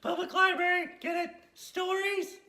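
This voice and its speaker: A performer voicing an excitable, giggly little girl puppet who hosts a kids' show, gushing and repeating exclamations. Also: very high voice, normal speed, full character voice. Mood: excited, giggly. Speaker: puppet show host for kids. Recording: roomy echo, faint music.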